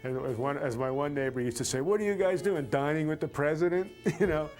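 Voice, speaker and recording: A man's voice: a little high, fairly quick, a little mellow, faint music behind. Emphatic American man reminiscing. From United States. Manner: emphatic, builds phrases through repetition. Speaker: interviewee. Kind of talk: documentary interview, reflective storytelling.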